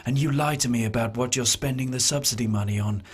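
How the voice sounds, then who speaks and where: Guy doing a dramatic middle-aged farm wife, really husky n breathy, spoof radio soap. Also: medium pitch, fast, lightly acted. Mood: troubled. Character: melodramatic discontented farmer's wife in her forties. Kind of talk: parody radio soap acting.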